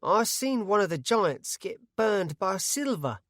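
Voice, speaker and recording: A small, fretful villager who stammers through his story in broken country grammar, put on by a man. The voice sits higher than the reader's own, with a thin, oddly colored timbre. Read dry and close. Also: big pitch swings, slow pace, fairly bright tone, dry recording, heavily acted. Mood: nervous.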